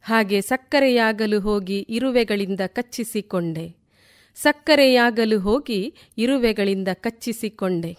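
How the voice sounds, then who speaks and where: A woman reciting poetry in a deliberate way, repeating lines for emphasis. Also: a little high. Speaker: poet reciting on a radio programme.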